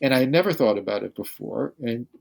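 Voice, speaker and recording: Older male academic guest, mid-pitched, halting delivery full of restarts. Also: animated, creaky, darkish tone. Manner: halting, many restarts and repeated words. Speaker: physicist guest. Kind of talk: unscripted podcast interview.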